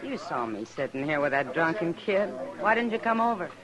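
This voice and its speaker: A woman playing a frank, sharp-eyed American saloon woman in an old radio western, direct and wry in manner. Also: deep voice, big pitch swings, fairly quick, rough recording. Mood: reproachful. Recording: old radio recording, crowd sounds behind.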